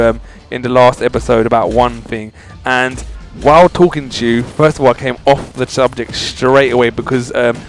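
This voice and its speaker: Chatty man doing exasperated gameplay commentary. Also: mid-range voice. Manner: chatty, exasperated, addresses viewers as dudes. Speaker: gaming channel host. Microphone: voice-over over mobile game footage.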